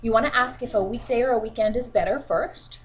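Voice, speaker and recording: A woman's voice: a little high, fairly quick, a little hiss or hum, rough recording. Chatty woman with a sniffly cold. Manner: chatty and encouraging, frequent fillers. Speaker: sales team leader. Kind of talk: casual instructional vlog. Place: at home, children beside her.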